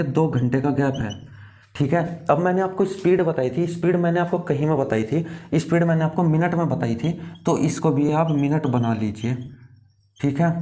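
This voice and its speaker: Male tutor, relaxed dark timbre, instructive, repeats key phrases and checks that the learner follows. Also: fairly high pitch. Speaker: teacher. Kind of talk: step-by-step exam lesson.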